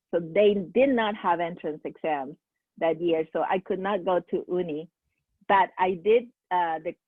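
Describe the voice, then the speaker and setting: A woman from Peru hosts a small online seminar, her voice sitting low and coming through a thin, phone-like video-call line. She talks haltingly, restarting phrases and filling pauses with "uh" and "um". The sound is dry, with no room around it. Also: dry recording. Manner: halting, many fillers and restarts. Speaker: seminar host. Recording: tinny phone-like call audio.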